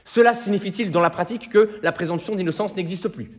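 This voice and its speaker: A man playing an earnest, impassioned defence lawyer, delivering a solemn theatrical plea to a jury in deliberate rhetorical phrases. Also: a little high, expressive. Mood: indignant, insistent. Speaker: actor performing a monologue. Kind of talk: theatrical courtroom closing speech.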